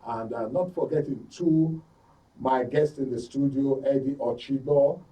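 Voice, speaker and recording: Mellow Nigerian man hosting radio talk. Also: mid-range voice, unhurried, distant mic, poor audio. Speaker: radio and TV talk show host. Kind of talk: current affairs discussion and programme sign-off. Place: radio and TV studio.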